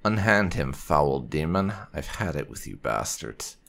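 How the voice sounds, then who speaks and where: Male gamer, deep voice, animated intonation, sardonic, casual playthrough commentary. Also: unhurried, vocal fry, a little hiss or hum. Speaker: gaming video host and player.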